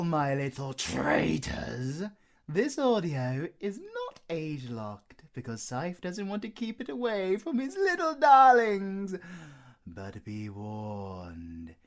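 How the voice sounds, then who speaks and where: A man acts out a smug werewolf villain for an audio roleplay. He has a British accent and a languid, unhurried drawl. The pitch roams widely as he doles out condescending endearments with elegant menace. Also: full character voice.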